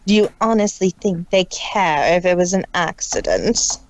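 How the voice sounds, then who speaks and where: A woman voicing a cruel, scheming cartoon pony sister with a deliberate, ice-cold, menacing delivery. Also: fairly low pitch, expressive, low-quality recording. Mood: scornful.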